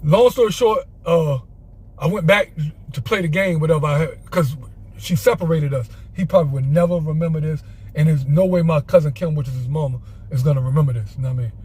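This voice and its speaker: A man's voice: on the high side, a little fast, darkish tone, breathy edge. A man casually telling an off-the-cuff story, rambling and repeating himself. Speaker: storyteller vlogger.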